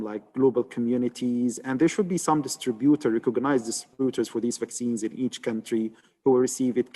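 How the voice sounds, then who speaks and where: A man from Egypt explains vaccine research on a video-call webinar, talking it through patiently and filling pauses with "uh" and "like". His voice rings with a long reverb. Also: medium pitch, mellow. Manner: explanatory, many fillers like "uh" and "like". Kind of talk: webinar panel answer.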